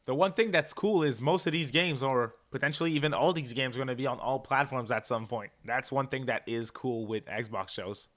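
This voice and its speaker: A man's voice: mid-range voice, a little fast, few pauses, fairly bright tone. A man hosting a livestream in a laid-back, informal, slangy way, chatting casually with his viewers. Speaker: livestream host. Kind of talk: casual livestream chat, reacting and reading viewer comments. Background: music.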